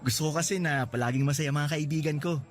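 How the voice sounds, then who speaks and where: A man's voice: a little high, fast, soft background music, natural voice. An actor playing a cheerful, joking young male coworker in a radio drama, teasing and boasting playfully. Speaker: Lester.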